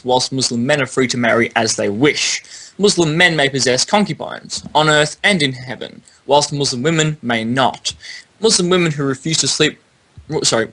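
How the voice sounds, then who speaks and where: A man leading a panel discussion in a formal, articulate and measured way, as if presenting prepared material. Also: a little high, sharp tone, poor audio. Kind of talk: panel discussion, reading prepared text aloud.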